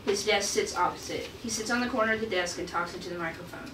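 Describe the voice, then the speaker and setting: A woman narrates scene directions from a screenplay at a table read. Her pitch is average. She sounds some distance from the mic in a noisy, echoing room. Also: fast. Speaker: stage-direction reader. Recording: echoey room, distant mic, clear noise.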